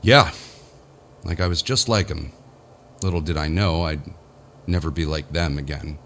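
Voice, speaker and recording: Deep-voiced, spooked, rambling boss. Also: male voice, a bit bright, slight background noise, full character voice. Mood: wry, bitter. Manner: folksy, rambling, halting with repeated phrases. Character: spooked, rambling male business boss recounting his ordeal. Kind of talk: audio horror drama monologue.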